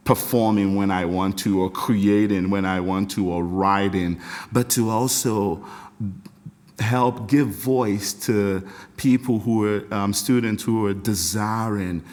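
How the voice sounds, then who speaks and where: A middle-aged Nigerian man with a fairly low voice talks as a podcast guest. His Nigerian accent comes through as he tells stories in a warm, animated way. He laughs often and restarts phrases as his thoughts run ahead. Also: takes it slowly. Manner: reflective, animated storyteller with frequent laughs and restarts. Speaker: podcast guest, music educator. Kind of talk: casual interview talk.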